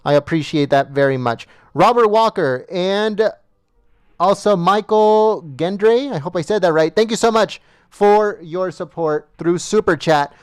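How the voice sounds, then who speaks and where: High, smooth-voiced man thanking livestream viewers. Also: unhurried, dry recording, clean studio sound. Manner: repetitive, reads names with small stumbles. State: losing his voice. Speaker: livestream host.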